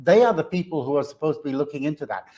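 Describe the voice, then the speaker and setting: A man's voice: a little high, expressive, very fast, mellow. A man, a guest commentator on markets, speaking earnestly in a live business interview with frequent fillers and restarts. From Hong Kong.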